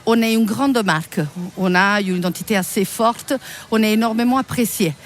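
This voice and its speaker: A woman's voice: medium pitch, normal speed, slight background noise. A woman from Italy with an Italian accent, a native Italian speaker, answering interview questions conversationally as a communications producer and presenter.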